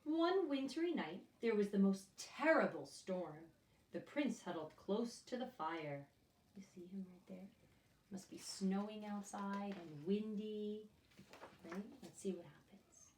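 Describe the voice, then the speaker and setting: A woman's voice: medium pitch, animated, unhurried, darkish tone, a little hiss or hum. A woman reading a picture book aloud to young children, expressively, often turning to them with questions and asides. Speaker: children's storyteller and teacher. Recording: echoey room, distant microphone.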